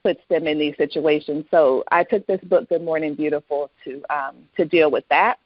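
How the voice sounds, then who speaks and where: A woman's voice: deep voice, fairly even intonation, moderate pace, no room sound, dull audio. A woman speaking as a guest author in a casual interview, explaining things in a conversational way.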